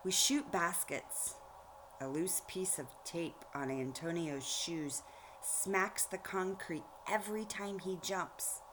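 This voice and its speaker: A woman reading a storybook aloud like a teacher, pausing to explain things directly to young listeners. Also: lowish voice, background noise. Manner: explanatory, addresses children directly.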